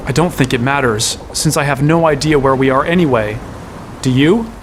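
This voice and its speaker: A man's voice: mid-range voice, normal speed, a little hiss or hum, close to an everyday voice. An actor playing an exasperated, sarcastic young hero who narrates his own quest in a fantasy audio drama. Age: young adult. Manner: wry, exasperated. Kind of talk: first-person audio drama narration and dialogue.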